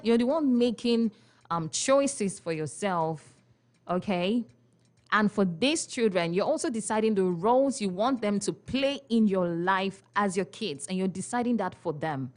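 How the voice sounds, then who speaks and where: A young Nigerian woman hosting a radio talk show, playful and chatty, with frequent laughs and questions for her listeners in a studio. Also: mid-range voice. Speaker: radio host. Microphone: studio microphone.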